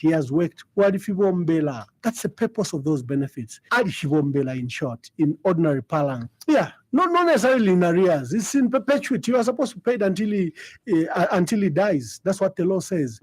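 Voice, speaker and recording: A man's voice: high-pitched, darkish tone, breathy edge, dry recording. A man with a Zambian accent arguing emphatically on a radio talk programme as a political spokesman, repeating his points. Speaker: opposition party spokesman.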